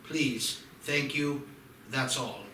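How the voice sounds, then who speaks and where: Man reading grave, urgent doctor, bright voice. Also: mid-range voice, very slow. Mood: grave warning. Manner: emphatic, formal. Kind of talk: amateur table read of a screenplay. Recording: echoey room, distant mic, faint noise.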